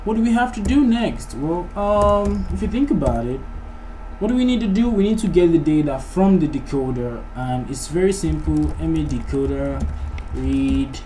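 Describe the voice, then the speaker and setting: A man's voice: on the high side, mellow. Man teaching coding over noisy background. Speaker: programming tutorial host. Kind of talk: casual coding tutorial, thinking aloud. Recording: noisy mic with keyboard typing. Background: background noise, keyboard typing.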